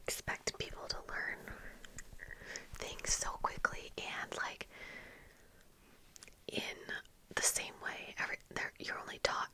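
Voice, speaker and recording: A young adult woman whispering softly and breathily into a close microphone in casual, rambling, unhurried ASMR chat. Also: poor audio. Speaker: ASMR video creator. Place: small room at home with bookshelves. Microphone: close desk microphone with fluffy windscreen.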